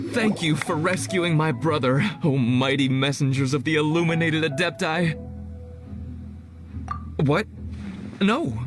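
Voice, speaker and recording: A man acts a poor young treasure hunter from a fantasy game, superstitious and beseeching. His voice is high and dramatic, with loud music playing behind him. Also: close to an everyday voice. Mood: grateful, then flustered. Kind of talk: fantasy video game acting.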